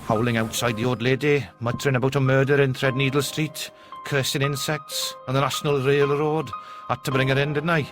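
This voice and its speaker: A man's voice: medium pitch, level tone, fairly quick, close to an everyday voice. An actor playing a grumbling, deferential junior policeman with a working-class English accent in a video game. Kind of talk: video game character acting. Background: music.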